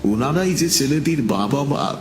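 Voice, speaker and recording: A man narrating a scripted first-person story in a measured, dramatic storytelling manner. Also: medium pitch, animated, music in the background.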